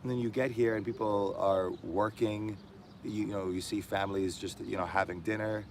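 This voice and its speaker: A man's voice: on the low side, steady tone, normal speed. A man guest reflecting in casual conversation, thoughtful and hesitant, with many fillers. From diaspora Armenian. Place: indoors in Armenia.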